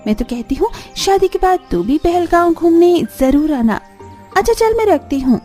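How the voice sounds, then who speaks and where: A woman's voice: extremely high pitch, sing-song, moderate pace, mellow, soft background music, voice pushed up. A woman voicing bubbly young women in a cartoon story, gushing and exclaiming. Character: bubbly, boastful young newlywed village women on phone.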